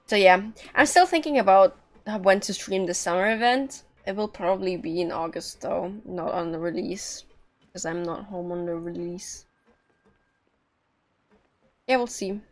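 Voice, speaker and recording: A woman's voice: medium pitch, unhurried, long pauses, a little mellow. A woman giving casual live commentary as she streams a game, blunt and sweary.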